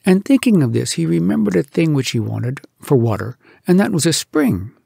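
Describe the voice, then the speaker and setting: A man reads humorous poems aloud as a storyteller, with theatrical, expressive delivery and dramatic pauses. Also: on the high side, creaky, slightly rough, dry recording, studio quality. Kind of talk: expressive comic poetry recitation.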